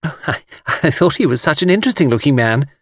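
A man's voice: on the high side, animated, fast, no room sound, clean studio sound, pitched above the performer's own voice. A man voicing a skittish, coquettish young lady tourist who gushes and giggles in an audiobook story. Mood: coquettish, teasing. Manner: coy, breathy exclamations and giggles.

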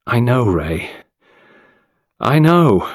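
A male narrator takes on an anxious young woman in an audiobook drama. She sounds tormented, with a hoarse edge and a lively, swinging pitch, recorded dry. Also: drawn-out words, dry recording. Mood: weary. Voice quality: deep, hoarse, hushed.

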